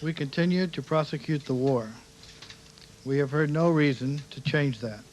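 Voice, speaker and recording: A man's voice: on the high side, normal speed, darkish tone, a little hiss or hum, low-quality recording. An American man speaking formally as a government spokesman at a press briefing.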